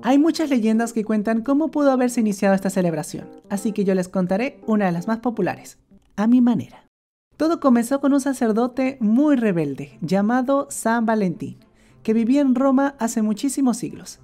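Woman narrator for a cartoon; sardonic, joking, warm low tone. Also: animated, normal speed, soft background music. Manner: sarcastic, playful asides. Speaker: animator narrator. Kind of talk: comic scripted narration.

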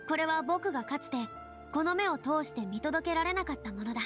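Anime game stage diva: high, self-assured, theatrical, boyish lofty phrasing, music behind. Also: female voice, expressive, brisk. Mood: musing, lofty. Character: theatrical, self-assured young female former stage star. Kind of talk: Japanese anime game voice acting.

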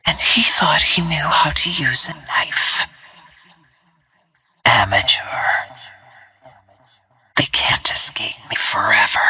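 An actress voicing a cold, self-assured assassin soldier in a video game, with a breathy, clipped, deliberate delivery. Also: female voice, extremely low pitch, pushed and bright, no room sound, heavily acted. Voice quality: breathy, whispery. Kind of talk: scripted video game voice lines.

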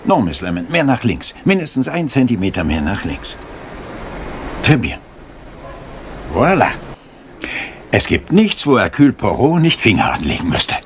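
An actor playing a fussy, vain little detective, pompous and precise, in a German radio crime drama. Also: male voice, mid-range voice, big pitch swings, moderate pace, long pauses, slight background noise. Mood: self-satisfied, musing. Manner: pompous, precise, sprinkles in French exclamations.

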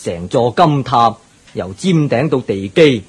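A friendly local guide in radio-play dialogue. He explains things expressively, in a rough husky voice with lively swings of pitch. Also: unhurried. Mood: informative.

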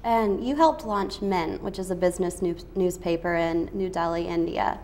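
Young female interviewer, lowish voice, faint room noise, studio lapel mic. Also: moderate pace, a little mellow. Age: young adult. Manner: polite, brief affirmations. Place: TV studio set.

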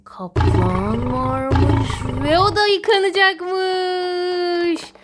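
High-voiced mother in playful child-directed talk. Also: drawn-out words. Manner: encouraging, sing-song child-directed talk with repeated praise. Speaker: mother playing with her young child. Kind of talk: playful educational play narration for a small child. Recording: clearly noisy home recording with clicks.

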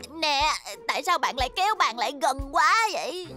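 A woman's voice: sits high, lively intonation, fairly quick, music in the background. A woman voicing a mischievous, hot-tempered little schoolgirl in a cartoon dub, with dramatic, exclamatory delivery. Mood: grumbling.

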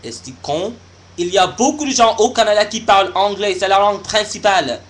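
Young man, French, shy halting vlogger, slightly high pitch. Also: fairly bright tone. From United States. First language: not French. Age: young adult. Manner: halting, searching for words, shy. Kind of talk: casual vlog monologue.

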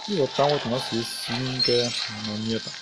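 A man's voice: mid-range voice, fairly even intonation, a little fast, heavy background noise. A man giving casual, playful let's play commentary, talking along with the action of a video game. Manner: playful, talks along with the action, uses diminutives. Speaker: gameplay commentator.

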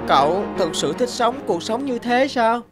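A woman voicing a kind, thoughtful young schoolboy in an animated cartoon, earnest and musing. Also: fairly high pitch, a little slow. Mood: curious. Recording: prominent music under the voice.

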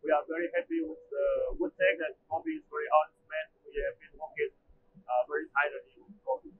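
A man's voice: a little high, a little fast. A man, giving a testimonial at a trade show booth with hesitant, filler-heavy speech on a lapel mic. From Taiwan. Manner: hesitant, frequent "uh" fillers, non-native phrasing. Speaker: machinery company manager. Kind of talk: testimonial interview answers. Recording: phone-like narrow band, faint noise.

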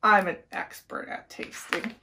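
A woman's voice: a little slow, pushed and bright, slight background noise. A woman voicing a greedy, hopeful pot-bellied pig in a storybook reading. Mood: hungry, hopeful. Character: greedy, food-loving pot-bellied pig, always asking to taste.

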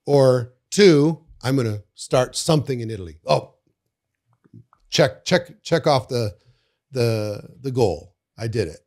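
An older man hosting a podcast interview in a studio, talking casually into a boom mic, often repeating words and trailing off quietly. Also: lively intonation, takes it slowly. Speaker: podcast host. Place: studio with bookshelves. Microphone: studio mic on boom arm.

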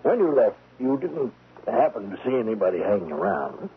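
An actor playing a polite, plodding homicide sergeant who questions haltingly in a radio drama. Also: male voice, fairly low pitch, sing-song. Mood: polite, probing. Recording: tinny, noisy old radio recording.

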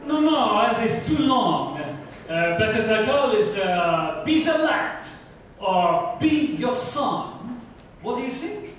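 A man voicing an excitable, naughty male photographer directing a shoot in a hesitant, exclamatory way at a dramatic book reading. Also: high voice, slow, full character voice. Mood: opinionated. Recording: echoey room, far mic, faint noise.

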